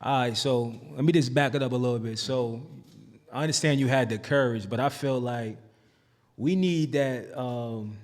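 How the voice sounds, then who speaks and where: A man's voice: mid-range voice, moderate pace. Casual podcast host man, hall echo. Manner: casual slang, stammering repeats when asking. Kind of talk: casual interview questioning.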